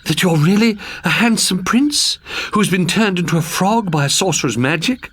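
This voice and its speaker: A man's voice: high-pitched, expressive, moderate pace, fairly bright tone, voice pushed up, full character voice. A man voicing a sweet, dim-witted, eager young princess in a gushing storybook manner. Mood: excited, eager. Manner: gushing, breathy squeals.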